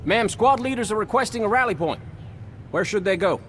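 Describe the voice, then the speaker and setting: Very high-pitched, urgent military officer. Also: male voice, expressive, fairly quick. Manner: formal, clipped reporting. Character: urgent, dutiful male military communications officer. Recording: clear vehicle noise behind.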